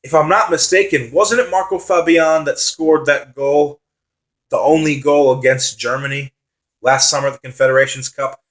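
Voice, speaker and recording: Excitable man with a dry, raspy voice. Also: fairly high pitch, moderate pace. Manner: enthusiastic, repeats himself for emphasis. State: dry, slightly raspy voice from long talking. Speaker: football vlogger. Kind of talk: casual solo reaction commentary.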